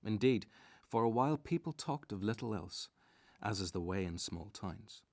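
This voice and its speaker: A man with a lowish voice narrates a scripted story for radio. The sound is dry and close, and he tells it as a storyteller would. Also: lively intonation, moderate pace, a little mellow, no room sound.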